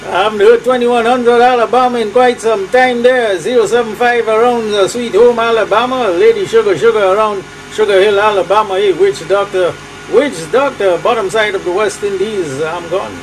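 A man's voice: high-pitched, normal speed, a little hiss or hum, rough recording. A man with a Trinidadian accent making hearty, repetitive radio calls as an operator, in patter full of call signs. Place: small cluttered room at home.

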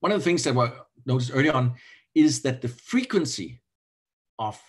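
Male professor, middle-aged, Danish accent, slightly rough voice, halting explanatory online lecture delivery. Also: mid-range voice, sing-song. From Denmark. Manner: halting, self-correcting, repeats words. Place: indoor room, on a video call.

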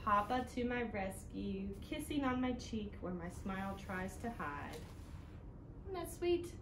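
A woman hosting a children's storytime, reading aloud in an animated, sing-song way that speaks directly to little listeners. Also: mid-range voice, unhurried. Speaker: children's storytime host at a library. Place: living room indoors, seated on a couch. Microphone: camera a few feet away. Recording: roomy home sound with noticeable background noise.

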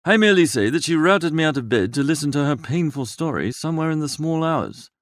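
Idle, flippant young gentleman male reader for comic storybook: droll, wordy, higher-pitched, very animated. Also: fairly quick, dry recording, clean studio sound, close to an everyday voice. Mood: breezy, droll. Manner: wordy, breezy, drolly exaggerating. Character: Bertie Wooster, young idle upper-class gentleman, flippant and languid. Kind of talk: comic first-person audiobook narration.

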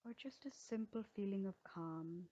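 A woman yoga teacher leading a guided healing meditation in a slow, soothing way with gentle pauses. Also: mid-range voice, muffled recording.

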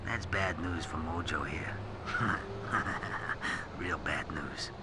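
A menacing gangster in a crime video game. He has a low voice and a sly, slangy, taunting way of talking, with clear noise behind. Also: slow pace. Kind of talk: video game cutscene acting.

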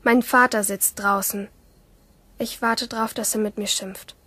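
A woman's voice: medium pitch, steady tone, measured pace, sharp tone, breathy edge, no echo. A woman playing a rebellious, lonely teenage girl who narrates her thoughts intimately in a German radio play. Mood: hesitant, nervous.

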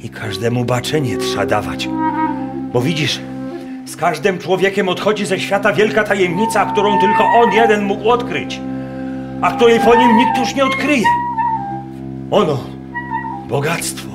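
A man's voice: sits high, expressive, unhurried, long pauses, over loud music. A man playing a mystical, fatherly folk seer in a radio play, speaking in incantatory, poetic rustic phrases. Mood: solemn, urgent. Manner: incantatory, poetic, dialect-tinged speech.

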